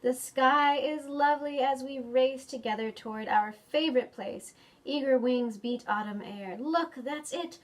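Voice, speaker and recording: Higher-pitched woman reading to young children. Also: a little slow. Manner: expressive, addresses young children with questions. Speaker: children's librarian storytime host. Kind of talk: animated picture-book reading and chat for preschoolers.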